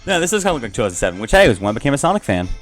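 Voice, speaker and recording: A man's voice: mid-range voice, big pitch swings, very fast, soft background music. A man giving casual, informal and opinionated let's play commentary as the host of a gaming video.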